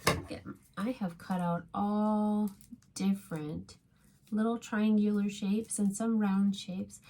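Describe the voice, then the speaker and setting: A woman's voice: medium pitch, level tone, slow pace, mellow, a little hiss or hum. A woman teaching a craft step by step to young children, speaking as a preschool teacher in simple, encouraging phrases.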